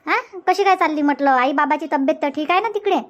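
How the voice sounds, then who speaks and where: Woman voicing a high-pitched folksy village auntie. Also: fast, natural voice. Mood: nosy, concerned. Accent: Varhadi Marathi from Vidarbha. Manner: folksy, inquisitive, reassuring. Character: nosy, reassuring village auntie, a grandmother. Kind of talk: cartoon comedy dubbing.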